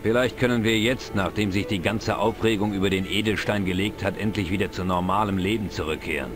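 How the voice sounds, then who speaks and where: An actor playing an older, knowledgeable, wry pub regular in an adventure game, explaining things in a dry, measured way. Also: male voice, fairly low pitch, steady tone, natural voice. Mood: wry, informative. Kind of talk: dubbed adventure game dialogue. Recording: music behind the voice. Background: game music.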